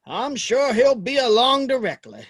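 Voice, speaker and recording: Casting: male actor as sardonic frontier saloon regular, high voice, swinging intonation, dry wit, audio drama. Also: very animated, unhurried, a bit bright, husky, full character voice. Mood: dry teasing. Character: dry, sardonic Old West townsman, Hank. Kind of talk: Western audio drama acting.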